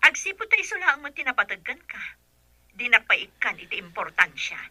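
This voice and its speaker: A very bright, edgy voice of a needling relative in a radio play. Her lively intonation is pointed and taunting, recorded dry. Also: on the high side, brisk, no room sound, full character voice. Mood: taunting, suspicious. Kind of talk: Ilocano radio drama acting.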